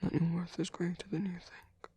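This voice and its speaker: A performer voicing a tender reformed villain as a protective lover in an intimate, gentle, breathy ASMR roleplay, speaking slowly in a hushed whisper. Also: medium pitch, level tone. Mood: reassuring, softly coaxing. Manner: slow, gentle, hushed, reassuring.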